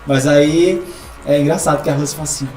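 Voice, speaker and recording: Guy chiming in on a podcast, kinda high voice, echoey room, light music behind. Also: fairly even intonation, brisk, darkish tone. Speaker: podcast guest, company partner. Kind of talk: casual podcast interview.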